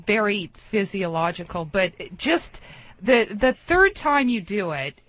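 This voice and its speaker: Female radio guest, frank and halting, unhurried delivery, dry, slightly dull recording. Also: medium pitch, big pitch swings, no echo. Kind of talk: casual, frank advice chat.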